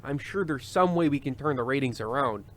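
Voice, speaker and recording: A man playing a fretful, animated variety host. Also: mid-range voice, a little fast, close to an everyday voice. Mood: uneasy. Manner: earnest, hesitant, fretful. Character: anxious, prim, fading male variety show host. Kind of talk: old-time radio comedy acting.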